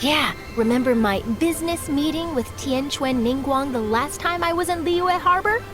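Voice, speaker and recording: A woman's voice: sits high, moderate pace, over music, natural voice. A woman voicing a perky, chatty young female reporter in an anime game, eager and teasing.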